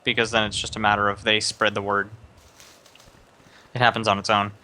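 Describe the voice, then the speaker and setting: Bright-voiced young man giving advice. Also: fairly low pitch, little pitch movement, brisk. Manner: rambling, many fillers and restarts, advising. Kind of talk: casual live-stream chat.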